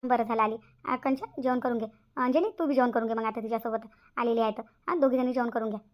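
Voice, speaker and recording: A woman's voice: a little high, steady tone, fast talker, a little mellow, close to an everyday voice. A woman voicing a fussy, nagging middle-aged village mother in a cartoon, repeating herself in a rural Maharashtrian accent. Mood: fussing, chiding. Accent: rural Maharashtrian Marathi. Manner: nagging, repetitive. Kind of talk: cartoon comedy dialogue.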